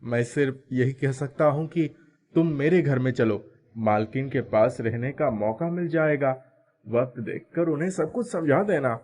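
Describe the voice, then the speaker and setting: A man plays an ailing runaway son in a dramatised story. He is weary and full of remorse, devoted to his mother, with a medium-pitched male voice. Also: a little slow, darkish tone, natural voice. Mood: pleading. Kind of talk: dramatised audio story acting.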